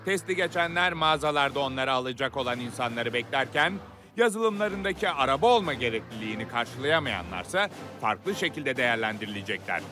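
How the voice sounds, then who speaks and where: A man's voice: mid-range voice, animated, a little fast, bright, forceful tone, faint music behind. An actor voicing a stern older-model car in a children's cartoon, curt, formal and commanding as he supervises tests. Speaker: test supervisor. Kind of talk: animated children's cartoon acting.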